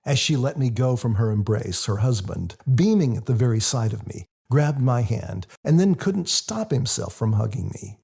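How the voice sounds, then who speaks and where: A man reading his own book as scripted audiobook narration, in a husky, gravelly voice. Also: medium pitch, sing-song, moderate pace, hardly any pauses, darkish tone.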